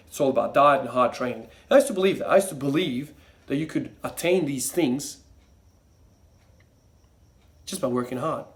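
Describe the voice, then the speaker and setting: Rambling young man talking to camera. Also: mid-range voice. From Croatia. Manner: rambling, many fillers and restarts. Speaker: fitness vlogger. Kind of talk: casual monologue to camera.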